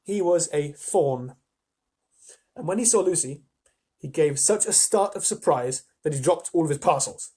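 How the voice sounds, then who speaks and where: Guy reading a kids story out loud, bit husky, lightish voice, chatty asides. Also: on the high side, expressive, measured pace. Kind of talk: expressive children's story reading with casual asides.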